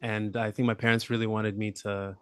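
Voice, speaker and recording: Relaxed man from Australia, dry sound. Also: fairly low pitch, fairly quick, smooth, dry recording. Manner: relaxed, thoughtful, many fillers and hedges. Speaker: podcast guest, app founder. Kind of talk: casual interview conversation.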